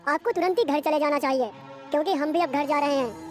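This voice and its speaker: High-voiced cautionary village man played by a woman. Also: fairly quick, music in the background, natural voice. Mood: grave warning. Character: wary village man giving grave warnings. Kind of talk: animated horror story acting.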